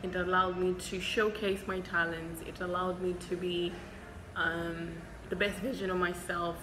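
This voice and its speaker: A woman's voice: fairly low pitch, level tone, unhurried. A young woman from Zimbabwe, a doctor whose first language is Shona, answering viewer questions in a chatty, candid vlog from a hospital. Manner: chatty and candid, frequent ums. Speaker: doctor and vlogger. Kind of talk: casual Q&A vlog. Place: hospital, indoors. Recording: echoey room with background noise.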